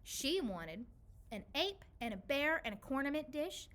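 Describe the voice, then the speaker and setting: A woman storyteller reading aloud for children. Her voice sits fairly high and sounds very bright, and she delivers rhymes expressively with a clear rhythm. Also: very animated, a little slow.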